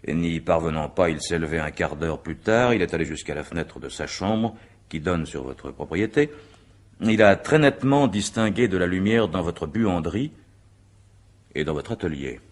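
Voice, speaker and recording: A very formal examining magistrate in a radio mystery play. His voice is low and his phrasing measured. He probes methodically and pedantically, suspicious of the witness he questions. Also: expressive. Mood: dry, insistent. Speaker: actor.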